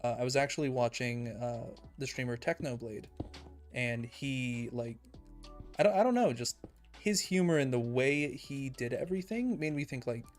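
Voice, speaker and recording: A young man streaming a building game, talking calmly and evenly in a soft, soothing voice he calls monotone. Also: mid-range voice. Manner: calm, soft-spoken, self-described monotone. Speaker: Minecraft streamer. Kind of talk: casual livestream chat while building in a game.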